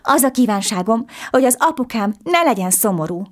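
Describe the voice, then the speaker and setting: A woman's voice: animated, close to an everyday voice. A woman voicing an earnest, eager poor little boy in a storybook tale, asking questions and pleading. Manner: high, pleading, quick questions.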